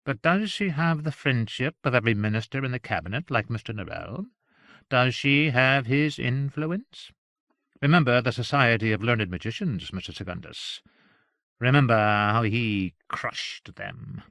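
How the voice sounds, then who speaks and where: A man voicing a shabby, grave Yorkshire servant in an audiobook, with a gravelly, deliberate delivery and coolly amused menace. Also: medium pitch, a bit bright, no echo, studio quality, close to an everyday voice. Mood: mildly amused, menacing.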